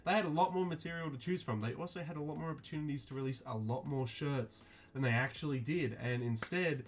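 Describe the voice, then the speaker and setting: A man talking casually to his viewers, reviewing a clothing release in a flat, mildly disappointed way with lots of ums and restarts. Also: fairly high pitch, fast, slight background noise. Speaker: streetwear vlogger.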